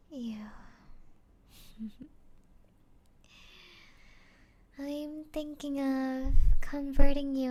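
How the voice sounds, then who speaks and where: A woman voicing a seductive, mocking, possessive vampire in an ASMR roleplay, teasing and taunting in a breathy voice that slips into whispers. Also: high voice. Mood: seductive, commanding, then menacing, teasing. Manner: teasing, taunting, slow breathy delivery with whispers and soft chuckles.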